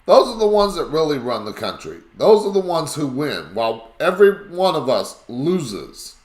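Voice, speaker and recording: Raspy-voiced man hosting a talk show. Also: on the high side, very animated, moderate pace, a little mellow, reverberant. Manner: rambling, emphatic, conspiratorial. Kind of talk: live call-in talk show commentary.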